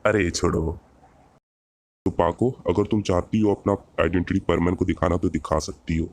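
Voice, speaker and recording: A bossy cartoon bird-man from another planet, acted by a man, speaks in a lowish voice. He is a commanding superhero mentor who lectures with pointed questions. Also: steady tone, moderate pace, a little mellow. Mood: placating.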